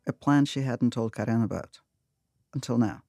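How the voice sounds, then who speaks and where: A narrator from Russia telling a story in a measured, matter-of-fact way. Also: male voice, mid-range voice, gravelly, dark tone, no room sound, highs cut off, faint music behind. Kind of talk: scripted radio narration.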